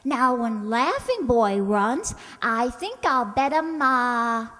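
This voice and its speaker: A woman plays a ditzy young working girl in a radio sitcom, very high-voiced and swooping widely in pitch. She is earnest, guileless and sure of herself, and she takes her time. The sound rings with long hall reverb. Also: expressive, slow, bright, forceful tone, exaggerated voice. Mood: earnest, naively confident. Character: scatterbrained, naive, cheerfully confident young working woman.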